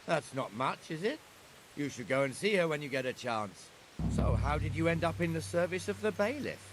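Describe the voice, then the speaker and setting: A man's voice: a little high, lively intonation, moderate pace, slight background noise, natural voice, low-quality recording. An actor playing a blunt, teasing, seasoned town watchman in a medieval video game.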